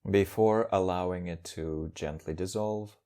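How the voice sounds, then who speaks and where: A man leading a guided meditation as a teacher, speaking calmly in measured, short phrases with pauses. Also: low-pitched.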